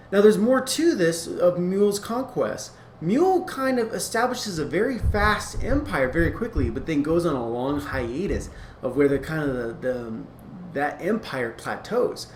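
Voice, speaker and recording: A man giving a casual, informal explainer, talking in a halting, rambling way and often correcting himself. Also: a little high. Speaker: fan-theory video creator. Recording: echoey room, clear background noise.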